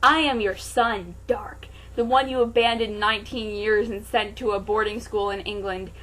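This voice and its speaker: A woman voicing a scheming, murderous teenage boy in a deliberate, dramatic story reading. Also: mid-range voice, steady tone, fairly bright tone, natural voice, low-quality recording. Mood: conspiratorial.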